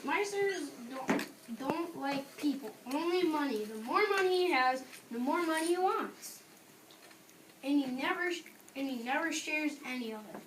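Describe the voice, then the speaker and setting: A woman's voice: sits high, lively intonation, normal speed. A young woman playing an earnest, generous young boy in amateur readers theater. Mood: matter-of-fact. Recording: echoey room, distant mic, faint noise.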